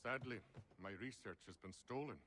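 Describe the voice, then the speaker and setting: A man playing a measured, courteous gentleman scholar with formal speech in a video game. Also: mid-range voice, in a large hall. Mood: composed. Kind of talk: video game character acting.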